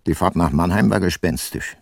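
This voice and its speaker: An actor playing a sceptical private detective in a German radio crime drama, speaking in a dry, laconic, understated way. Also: male voice, low-pitched, normal speed, creaky, no echo. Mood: sober, reflective. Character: dry, sceptical private detective, male, narrating his case.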